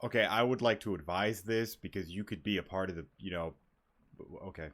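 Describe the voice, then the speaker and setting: A man's voice: deep voice, brisk, fairly bright tone, a little hiss or hum. A man giving casual, half-joking live commentary over a video game, informal and prone to swearing. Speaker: gaming streamer. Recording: game music behind the voice.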